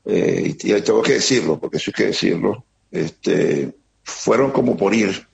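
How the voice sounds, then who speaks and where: A middle-aged man with a Venezuelan accent giving an earnest, halting account as an interviewee, often repeating words. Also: deep voice, vocal fry. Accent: Venezuelan Spanish. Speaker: interviewee, security operator. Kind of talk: emotional testimony on a remote interview. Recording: muffled lo-fi line with faint noise.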